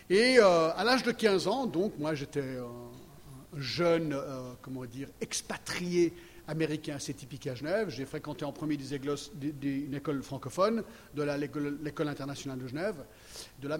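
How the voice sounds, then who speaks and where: A man in his sixties, American raised in Geneva, preaching a sermon and sharing his testimony, chatty and often checking in with the congregation. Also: a little high. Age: 60s. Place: church hall. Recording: long reverb hall, faint ambience.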